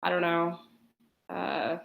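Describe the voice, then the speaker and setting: Low-voiced woman in an echoey room. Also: steady tone, slow, vocal fry, a bit bright. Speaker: guest telling a personal account. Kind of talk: casual conversational storytelling. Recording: roomy, echoing voice chat.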